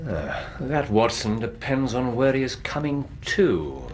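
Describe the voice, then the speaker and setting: An actor playing a shrewd, composed Victorian gentleman detective with an English accent, courteous and precise, in a radio drama. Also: mid-range voice, big pitch swings, measured pace, a little hiss or hum. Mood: musing, amused. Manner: courteous, precise, with musing hums.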